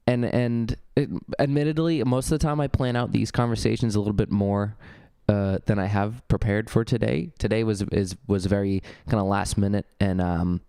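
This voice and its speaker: A young man hosting his own podcast, talking casually and a bit gravelly from a cold, with lots of ums and restarts as he rambles. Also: on the low side, warm tone, no room sound, studio quality. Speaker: podcast host. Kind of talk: casual solo podcast talk. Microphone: studio vocal mic.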